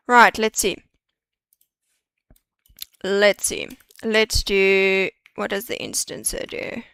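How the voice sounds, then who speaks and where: A woman's voice: medium pitch, creaky, fairly bright tone, dry recording, studio quality. A woman streaming a 3D modeling session, chatting and muttering to herself as she works through each step. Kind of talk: live-stream tutorial, thinking aloud.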